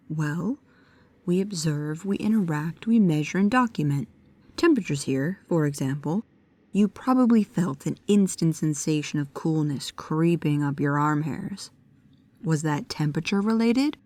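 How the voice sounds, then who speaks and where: An actress plays a bookish young female paranormal investigator in an immersive audio drama. Her voice sits a little low for a woman. She is precise and pedantic, with a nervous, hesitant earnestness. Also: unhurried, warm tone. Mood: flustered, earnest. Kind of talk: immersive audio roleplay addressed to the listener.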